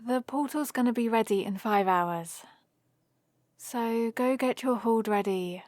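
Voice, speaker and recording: A woman's voice: on the high side, a little slow, no echo, studio quality, natural voice. A woman playing a playful, teasing young heroine adventurer who chats and rambles fondly in a one-sided ASMR roleplay. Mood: casual, fond. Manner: chatty, rambling, gently teasing.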